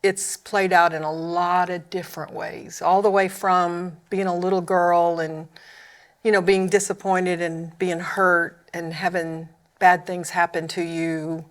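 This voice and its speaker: A woman's voice: on the low side, level tone, unhurried. A woman with an Oklahoma accent, a ministry founder, sharing her personal story as a podcast guest in an earnest, candid way. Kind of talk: personal testimony in a panel chat.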